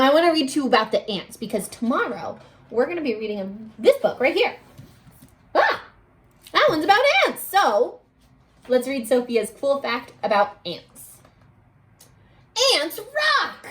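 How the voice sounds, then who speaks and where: A woman teacher reading a picture book aloud to young children, expressively, pausing to put questions to them. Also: on the high side. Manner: animated, addressing children with questions and pauses.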